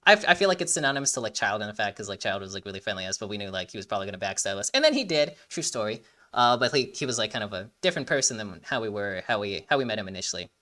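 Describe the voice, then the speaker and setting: A man's voice: fairly low pitch, big pitch swings, rapid-fire, few pauses, bright, forceful tone. A young man giving casual, rambling, emphatic commentary as a reaction video host.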